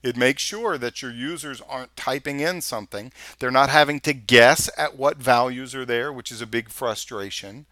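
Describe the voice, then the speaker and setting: A man with a Texas accent, walking through a software screencast in a casual, think-aloud way. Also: mid-range voice, normal speed, hardly any pauses, dry recording, studio quality. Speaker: software instructor. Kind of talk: screencast tutorial walkthrough. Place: voice-over of a screen recording.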